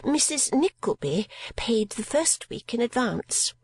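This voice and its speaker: A woman voicing a mincing, genteel landlady of fifty in a storybook reading, with a high, breathy, prim and affected manner and dainty little coughs. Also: sing-song, measured pace, no echo, heavily acted. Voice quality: high and breathy, sometimes whispered.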